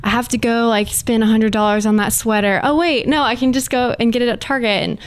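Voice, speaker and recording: A woman's voice: on the high side, steady tone, a little fast, a little hiss or hum. A young woman talking casually and chattily as a guest on a lifestyle podcast. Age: young adult. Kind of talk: casual interview chat.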